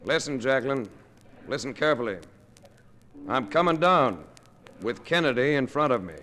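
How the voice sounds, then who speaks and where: An actor playing a wry, hard-boiled private investigator in a 1950s radio detective drama, with a dry manner. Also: male voice, medium pitch, animated, moderate pace, bright, forceful tone, slight background noise. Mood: hard, commanding. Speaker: lead and first-person narrator.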